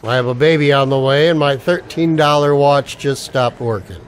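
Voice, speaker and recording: A man's voice: medium pitch, normal speed, close to an everyday voice. An actor playing a wry, wary ex-soldier down on his luck, with dry, sardonic banter in a radio play. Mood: wry, resigned. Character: wry, wary unemployed ex-Ranger, expectant father. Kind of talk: radio play dialogue in homage to old radio dramas.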